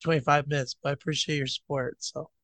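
A man co-hosting a casual sports podcast, his voice rough from the flu, blunt and profane as he tells stories. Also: on the high side, level tone, quick pace, fairly bright tone, no room sound. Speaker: podcast co-host.

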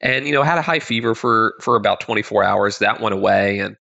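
Male podcast host, 30s, low-ish crackly voice with a stuffy nose, casual solo monologue. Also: a little fast, gravelly, sharp tone, dull audio. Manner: upbeat, conversational, with frequent word repeats. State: congested, slightly nasal from illness.

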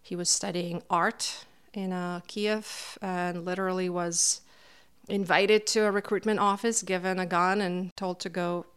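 A woman's voice: on the low side, flat delivery, measured pace, a bit bright, smooth. A middle-aged woman from Kazakhstan recalling her family's past in an interview, speaking reflectively with occasional fillers. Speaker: interviewee, NGO founder. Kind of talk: personal recollection in an interview.

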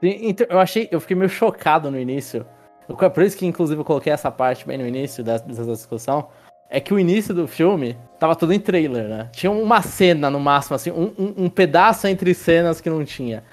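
A man's voice: a little high, animated, fast talker, soft background music. A man hosting a casual film review podcast, rambling with restarts and tag questions as he leads the talk. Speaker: podcast host.

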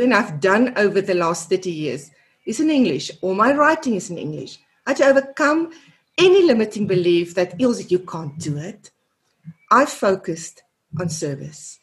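A woman's voice: medium pitch, big pitch swings, normal speed. Earnest South African woman in her fifties. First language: Afrikaans. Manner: earnest, repeats words while searching for them. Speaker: guest, child therapist. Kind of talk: reflective interview answers.

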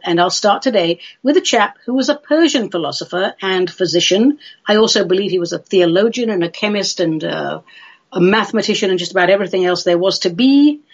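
A woman's voice: mid-range voice, breathy edge, highs cut off. A woman from London with a London English accent hosting a chatty solo radio show in a playful, theatrical, droll manner. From London, England.

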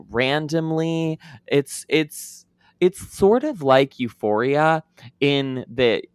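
A man's voice: medium pitch, smooth, no echo, studio quality. A man co-hosting a podcast, giving a chatty, opinionated movie review full of fillers and restarts. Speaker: podcast co-host. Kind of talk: casual chat reviewing a movie.

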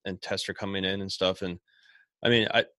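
A man's voice: low-pitched, flat delivery, a little fast, bright, forceful tone, dry recording, clean studio sound. A man from Ohio who runs a small business, talking casually and rambling with lots of restarts and fillers. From Ohio, USA. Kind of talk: casual conversation.

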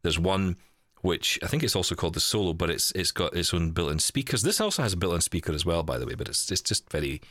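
A man's voice: deep voice, expressive, fast talker, fairly bright tone, dry recording. A man hosting a podcast in casual, chatty style, thinking aloud with wry asides. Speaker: podcast host. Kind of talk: casual chat, live unboxing of a gadget.

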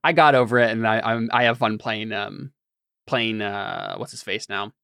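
A man hosting a casual podcast chat, speaking in a hesitant, halting way as he thinks aloud. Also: fairly low pitch, fairly even intonation, creaky, bright, forceful tone, smooth, no echo, clean studio sound. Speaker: podcast host and game master.

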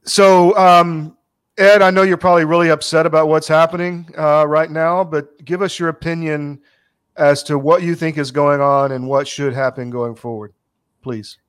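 A man's voice: a little high, steady tone, moderate pace, smooth. A middle-aged man hosting a podcast, talking conversationally as he reads sponsor copy and introduces guests. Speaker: podcast host. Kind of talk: conversational host talk and personal ad read.

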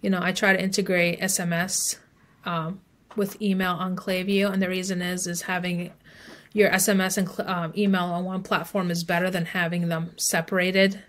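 A woman's voice: fairly low pitch, little pitch movement, moderate pace. A woman speaking as an expert guest in a podcast interview, explaining advice conversationally. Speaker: email marketing expert guest.

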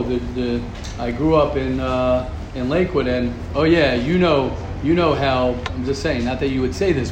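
Guy teaching a torah class, acts out quotes, echoey room w/ background noise. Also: medium pitch. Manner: animated, acts out quoted gossip, repeats words. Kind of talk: classroom lesson with questions. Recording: echoey room, noisy ambience.

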